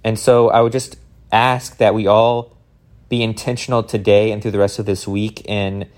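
Low-voiced man giving earnest encouragement. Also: flat delivery, normal speed, darkish tone. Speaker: motivational speaker. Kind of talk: informal motivational talk to camera.

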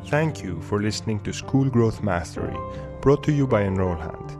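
A man reading a scripted podcast outro in a smooth, even way. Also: on the low side, normal speed, over loud music. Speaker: podcast announcer.

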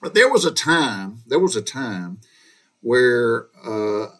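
A man's voice: mid-range voice, very animated, slow, sharp tone. Folksy Southern man telling childhood stories. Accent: Southern US (Georgia). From Georgia, USA. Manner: folksy, meandering, with frequent restarts and asides. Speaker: storyteller recounting his childhood. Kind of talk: casual spoken storytelling.

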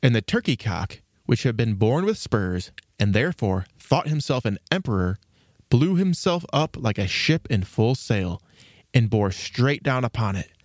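Animated male storybook narrator. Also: mid-range voice, measured pace, no room sound, clean studio sound. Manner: expressive storytelling.

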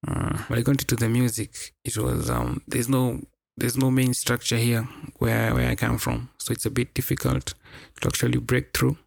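A young man with a Kenyan accent hosts a casual solo podcast in a gravelly, mid-pitched voice. The recording is dry and close, and he talks in loose slang, doubling up words for emphasis. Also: little pitch movement, moderate pace, dry recording. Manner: slangy, repeats words for emphasis, mixes in Swahili phrases. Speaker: podcast host.

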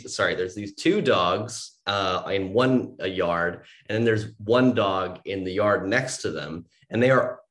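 A young man with a very low voice talks loosely and animatedly, stringing thoughts together with lots of "like" and "you know". He is a composer in a casual interview. He is recorded on a home mic in his own office, and the room rings with echo. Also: measured pace, hardly any pauses, a bit bright. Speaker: composer guest. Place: home office between two windows. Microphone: home mic setup.

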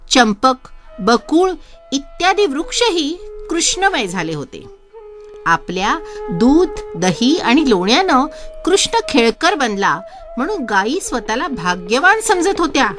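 High, animated woman storyteller. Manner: expressive, enacts characters' speech. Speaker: narrator reading a devotional story. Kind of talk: dramatized scripted storytelling narration.

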